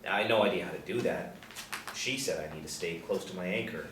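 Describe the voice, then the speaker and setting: A man's voice: sits low, fairly quick, fairly bright tone. A man, apparently middle-aged, playing an awkward, apologetic nerdy man at a script reading, hesitant and stammering. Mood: earnest, confused. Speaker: actor at a screenplay table reading. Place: indoor room, seated. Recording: echoey room, distant mic, faint noise.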